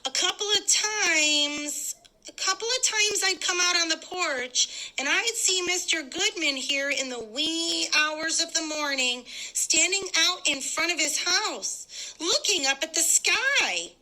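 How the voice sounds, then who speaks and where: A woman's voice: extremely high pitch, lively intonation, slow, pushed and bright, voice pushed up, full character voice, rough recording. A woman playing a suspicious, gossiping female neighbor who makes accusations in a dramatic play read-aloud. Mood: insinuating.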